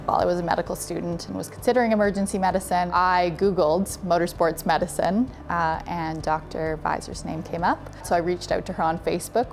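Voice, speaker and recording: A woman's voice: lowish voice, gravelly. A woman doctor telling in an interview how she found and came to honor her mentor. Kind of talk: interview testimony. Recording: faint music bed.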